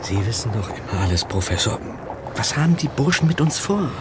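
An actor in a radio drama playing a wry, cheeky, jumpy newspaper reporter who also narrates in colloquial style. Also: male voice, medium pitch, very animated, fairly quick, noisy background, lightly acted. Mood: indignant, nervous. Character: cheeky, jumpy male American reporter and storyteller sidekick.

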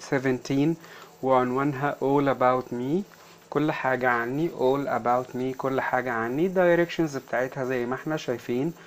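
A teacher with an Egyptian Arabic accent and a mid-range pitch walks through a children's workbook. The delivery is didactic, breaking English phrases into single words, repeating them and translating each into Arabic. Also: slight background noise.

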